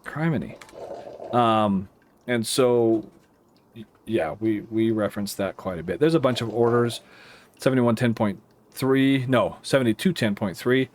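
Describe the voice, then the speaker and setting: A man with an American accent giving casual, explanatory commentary over a simulator game, thinking aloud in a halting, rambling way. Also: medium pitch, slight background noise. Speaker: air traffic controller streaming a simulator game.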